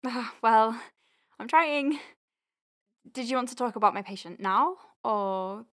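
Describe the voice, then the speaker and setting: A woman's voice: fairly high pitch, measured pace, fairly bright tone. An actress playing an awkward, earnest young woman doctor in a naturalistic audio drama, hesitant and prone to nervous stammering. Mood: flustered. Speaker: lead actor in an audio drama podcast.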